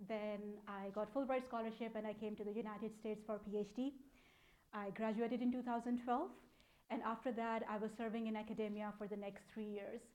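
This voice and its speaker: Higher-pitched Pakistani-accented woman presenting. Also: little pitch movement, brisk, darkish tone, rough recording. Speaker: speaker, data analytics program manager. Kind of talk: conference talk, informal presentation.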